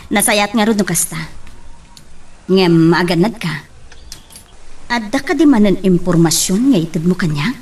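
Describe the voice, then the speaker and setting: A woman acting in a radio drama as a lively, concerned daughter. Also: mid-range voice, recorded from a distance, slight background noise. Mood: inquisitive. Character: lively, concerned daughter talking with her father.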